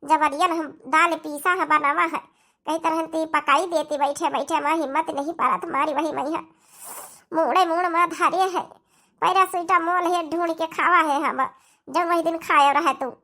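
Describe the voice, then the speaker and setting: Woman voicing high-pitched plaintive young daughter-in-law, Awadhi accent. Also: steady tone, normal speed, sharp tone, exaggerated voice. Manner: plaintive, complaining. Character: aggrieved, feverish young daughter-in-law pleading for sympathy. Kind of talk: comedy cartoon voice acting.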